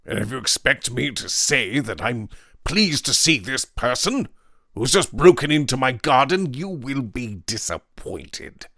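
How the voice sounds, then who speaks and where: A man doing a loud, gruff, overbearing scientist. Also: medium pitch, very animated, a little slow, no echo, voice pushed up, heavily acted. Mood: contemptuous. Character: massive, loud-voiced, gruff male physicist.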